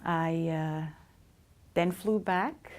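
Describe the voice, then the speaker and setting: A woman's voice: lowish voice, extremely slow, a little mellow. A middle-aged woman from Switzerland, telling her life story in an interview with frequent hesitations. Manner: reflective, many hesitations. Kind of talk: casual storytelling.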